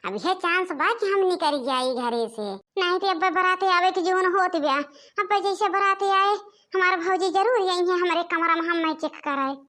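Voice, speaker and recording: A sneaky young village bride, played by a woman in a cartoon. She mutters her plans to herself in a very high, bright voice with an Awadhi accent. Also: normal speed. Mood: impatient, scheming. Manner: talks to herself. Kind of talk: animated comedy monologue in cartoon.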